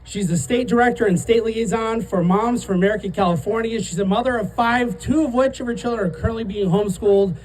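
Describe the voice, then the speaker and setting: A man acting as emcee at an outdoor rally, introducing the next speaker admiringly and slowing for the announcement. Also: high-pitched, darkish tone, slight background noise, rough recording. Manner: admiring, builds to a slow announcement.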